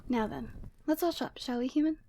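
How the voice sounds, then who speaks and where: Woman acting a high-voiced, proud yeti woman. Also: fairly quick, warm tone, slight background noise. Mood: teasing. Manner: commanding yet tender, teasing, often dropping to breathy whispers. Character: proud, lonely yeti woman, teasing and motherly toward a human. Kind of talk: ASMR roleplay, close and slow character acting.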